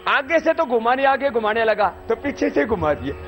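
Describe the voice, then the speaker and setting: Brief: high-voiced male yoga teacher, animated and joking, dull lo-fi outdoor recording with faint music. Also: moderate pace. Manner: lively, demonstrative, folksy humor. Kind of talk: live yoga instruction to soldiers. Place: outdoor army yoga camp.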